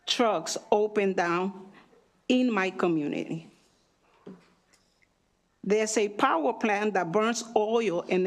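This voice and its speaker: A middle-aged woman from Honduras reading prepared testimony at a hearing, slowly and carefully. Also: mid-range voice. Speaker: community witness.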